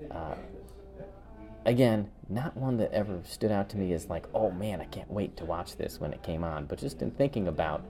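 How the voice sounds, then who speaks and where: A man co-hosting a podcast in casual conversation, halting and repeating words as he thinks aloud. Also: deep voice, expressive, music in the background. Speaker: podcast co-host. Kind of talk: casual chat ranking favorite cartoon specials.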